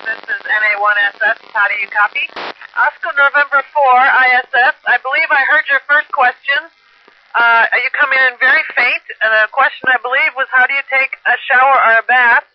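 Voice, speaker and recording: A man's voice: very high voice, fairly even intonation, fairly quick. A man speaking as an astronaut over a two-way radio, answering questions in a casual, explanatory way. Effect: two-way radio transmission. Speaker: astronaut aboard a space station answering questions. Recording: muffled lo-fi amateur radio link, hissy static.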